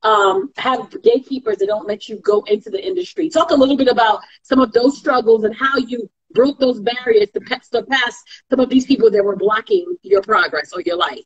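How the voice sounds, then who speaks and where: A woman hosts an interview over a video call from a small indoor room. Her voice sits a little high and sounds husky. She asks questions with curious, encouraging interest and agrees often with "yes" and "mm-hmm". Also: fairly quick, few pauses, lo-fi sound. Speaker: interview host. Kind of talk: casual interview.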